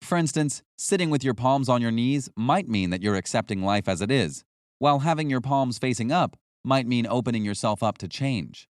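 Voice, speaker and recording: A man reading a nonfiction audiobook as narrator, in a measured, instructional way with clear phrasing. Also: mid-range voice, dry recording, clean studio sound.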